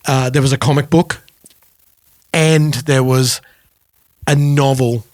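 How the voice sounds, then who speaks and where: A man's voice: mid-range voice, steady tone, slow, a little mellow, no room sound. A man co-hosting a podcast, telling anecdotes in a casual, informal way. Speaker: podcast co-host. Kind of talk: casual music podcast chat.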